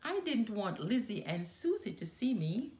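A woman's voice: fairly high pitch, big pitch swings, unhurried, darkish tone. A woman voicing a young, sensitive sister bear cub in an earnest, emphatic storybook reading. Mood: embarrassed. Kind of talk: children's storybook read-aloud. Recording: roomy indoor echo.

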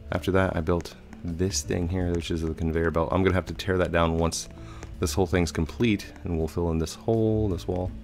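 Man in his thirties, very low voice, hoarse and stuffy from a cold, rambling gameplay commentary, headset mic, faint music behind. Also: steady tone, brisk, few pauses, darkish tone. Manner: chatty, rambling, with frequent fillers. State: slightly hoarse with a head cold. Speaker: gaming channel host. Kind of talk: casual let's play commentary.

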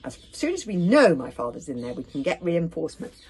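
A woman's voice: low-pitched, big pitch swings, brisk, a little mellow, breathy edge, heavily acted. A woman voicing an earnest, determined young boy hero in a storybook, speaking urgently. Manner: questioning, urgent, soothing.

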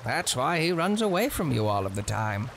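A man voicing a calm, cryptic young man from an anime fan dub, measured and matter-of-fact in every line. His mid-range voice rises and falls in a lively way, and a steady hiss of noise sits under the home recording. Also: mid-range voice, creaky.

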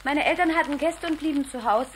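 A woman's voice: high voice, brisk, lightly acted. An actress playing a chatty, precocious, well-off little girl in a 1960s German children's radio play. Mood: curious, breezy. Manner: precocious, breezy, theatrically polite. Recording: noisy 1960s vinyl record.

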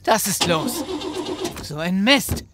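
A male actor plays a blunt, grumbling survivor in a zombie radio play. His voice is slightly high, with clear engine noise behind it. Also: very animated, slow pace, exaggerated voice. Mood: annoyed. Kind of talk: audio drama acting.

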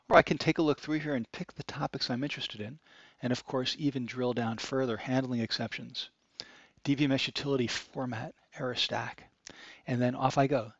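A man's voice: mid-range voice, fairly bright tone, husky, dry recording. A man narrating a screencast walkthrough of a website, explaining each click step by step in an upbeat manner. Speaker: product demo presenter. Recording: dry, slightly dull sound.

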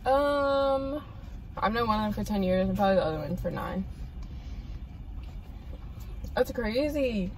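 A woman's voice: medium pitch, animated, moderate pace, a little hiss or hum. Candid young woman, slightly distant in a car. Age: young adult. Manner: candid, opinionated, laid-back. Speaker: host's daughter, co-reviewer. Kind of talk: casual food review chat. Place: inside a parked car. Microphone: phone camera on the dashboard, a bit distant.

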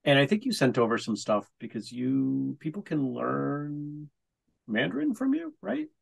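Gravelly-voiced middle-aged male host. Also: mid-range voice, unhurried. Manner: relaxed, brief affirmations. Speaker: podcast host. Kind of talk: casual interview. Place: home room. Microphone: video call.

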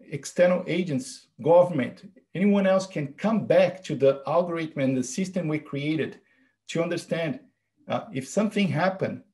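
A man with a fairly high voice gives an earnest tech talk. He explains step by step, pausing on fillers as he goes. He sounds middle-aged and eager to teach. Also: a little mellow. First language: Portuguese. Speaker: presenter.

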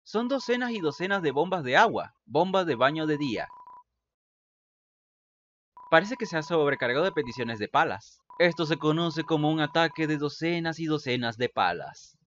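A woman reading as the deadpan, explanatory narrator of a quirky video game fan dub. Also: sits low, moderate pace, dull audio, a little hiss or hum.

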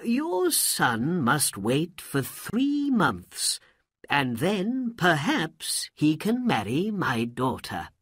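Proud old sultan, commanding and deliberate, read by a woman narrator; slow, husky, dry. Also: sits low, wildly swooping pitch, no echo, voice pushed up, full character voice. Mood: measured.

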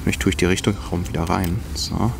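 Low-voiced man reviewing gear outdoors. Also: steady tone, brisk, creaky, background noise. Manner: musing, talks while handling items. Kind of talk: casual hands-on product review. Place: outdoors on grass by a log. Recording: music playing underneath.

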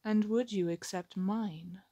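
A woman's voice: mid-range voice, steady tone, slow pace, dark tone. A woman playing an assertive, wry captive pirate admiral in an ASMR roleplay, with a formal, theatrical, teasing delivery.

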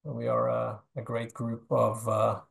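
A man's voice: medium pitch, little pitch movement, measured pace, creaky. A middle-aged man gives a brief webinar introduction over a video call, hesitant, with many fillers and restarts. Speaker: park network task force speaker.

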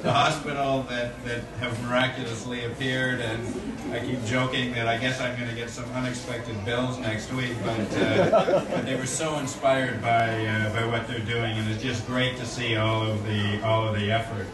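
A man's voice: mid-range voice, steady tone, normal speed. A man in his forties giving an earnest formal speech at a ceremony, with frequent fillers and restarts, into a stand microphone. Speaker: corporate speaker at a donation ceremony. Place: indoor room at an event. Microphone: stand microphone at a podium. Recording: roomy, distant-miked event audio with noise.

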